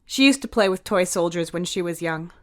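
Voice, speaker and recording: Narrator: woman, slightly low voice, reading scripted fiction. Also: animated, brisk, a bit bright. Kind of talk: scripted audio story narration.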